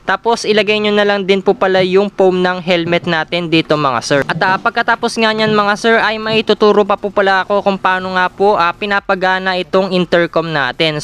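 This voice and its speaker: Filipino guy doing a gear tutorial, polite, pretty high voice, super clear. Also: fairly bright tone. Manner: polite, instructional. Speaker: motorcycle gear reviewer. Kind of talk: step-by-step product tutorial narration.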